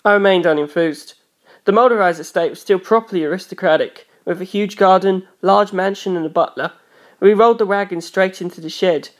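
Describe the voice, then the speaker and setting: A woman's voice: lowish voice, brisk. A woman reading scripted first-person story narration as an audiobook narrator.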